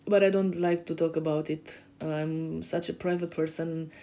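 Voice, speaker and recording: A woman in her thirties from Romania with a Romanian accent, introducing herself to camera as a podcast host and life coach, pausing often with fillers and restarts. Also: low-pitched, fairly even intonation, mellow. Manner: hesitant, many fillers and restarts. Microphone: facing camera.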